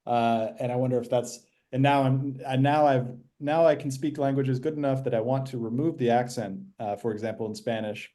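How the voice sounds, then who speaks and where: An easygoing male podcast host talks at a middle pitch, musing out loud and circling back on his phrasing as he asks questions. His tone stays conversational throughout. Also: steady tone, fairly quick. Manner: conversational, thinks aloud with restarts. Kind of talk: casual podcast interview.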